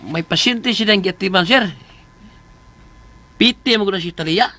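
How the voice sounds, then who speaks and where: A man's voice: high-pitched, unhurried, bright, forceful tone, soft background music. A man acting in a Philippine radio drama as a deferential, eager household helper with a Filipino accent. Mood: eager, helpful.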